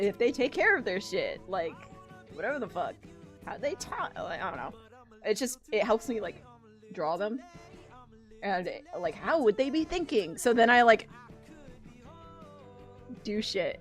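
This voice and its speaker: A woman streaming as she draws, chatting casually in an animated, gushing way and swearing freely. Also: mid-range voice. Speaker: streamer and artist drawing live. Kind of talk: casual livestream chat.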